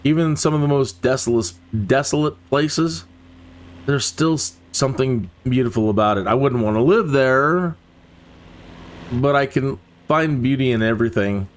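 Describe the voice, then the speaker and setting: A man's voice: medium pitch, moderate pace. A man hosting a flight simulator livestream, chatting casually and musing aloud as he flies. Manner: musing, speaks thoughts aloud while flying. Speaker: livestream host. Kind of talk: casual chat while flying a sim.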